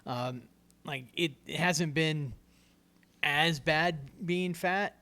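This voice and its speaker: Higher-voiced stammering man in podcast chat. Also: extremely slow. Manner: stammering, repeats words, says he is naturally soft-spoken. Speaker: podcast co-host. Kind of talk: casual chat.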